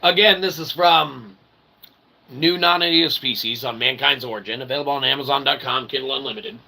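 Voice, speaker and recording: A man's voice: mid-range voice, a little fast, gravelly, pushed and bright, a little hiss or hum. A man hosting a show in a rambling, jokey, theatrical way with mock-formal wordy phrasing. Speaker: show host and author. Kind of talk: rambling show sign-off addressed to audience.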